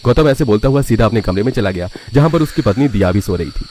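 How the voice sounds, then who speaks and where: A man's voice: fairly low pitch, expressive, quick pace, a little mellow, slight background noise. A man narrating a scripted family story.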